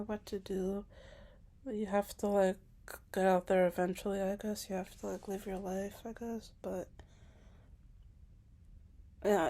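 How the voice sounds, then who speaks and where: A young woman in a casual, personal vlog monologue. She talks hesitantly and self-consciously, fills her sentences with filler words, and sometimes drops to a whisper. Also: mid-range voice. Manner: soft-spoken, at times dropping to a whisper. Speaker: vlogger.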